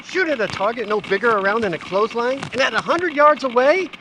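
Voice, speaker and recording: A man playing an excitable, doubtful sidekick in old-time radio serial style, speaking emphatically. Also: extremely high pitch, fast talker, full character voice. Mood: alarmed doubt. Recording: distant stage mic, faint noise.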